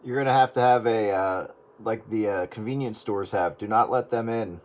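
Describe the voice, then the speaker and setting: A man's voice: fairly low pitch, normal speed. A man chatting casually over a radio link. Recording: radio link with loud static, clicks.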